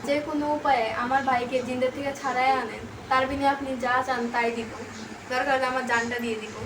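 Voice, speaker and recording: A woman's voice: high voice, fairly even intonation, quick pace, close to an everyday voice. A woman playing a protective, anxious elder sister with a Bangladeshi accent, talking urgently in an amateur skit. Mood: worried, pleading. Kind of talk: amateur horror-comedy skit acting. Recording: noisy location sound with ambience.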